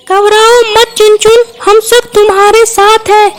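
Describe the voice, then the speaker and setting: A woman's voice: extremely high pitch, flat delivery, unhurried. A woman voicing a brave, clever, kind young female bird in a children's cartoon, speaking in a reassuring, decisive way. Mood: caring, resolute. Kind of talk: Hindi children's cartoon voice acting.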